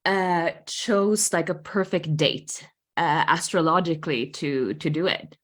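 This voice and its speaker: A woman hosting a podcast who asks questions in a warm, enthusiastic, chatty way. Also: low-pitched, a little slow. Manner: enthusiastic, gushing. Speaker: podcast host. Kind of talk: casual interview chat.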